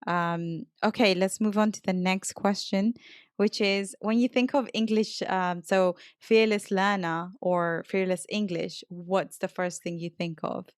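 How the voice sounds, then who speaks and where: A woman hosts a casual interview podcast in a clear, resonant voice of medium pitch. The recording is dry, with very little room around her. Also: fairly even intonation, measured pace, dry recording. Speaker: podcast host and English teacher.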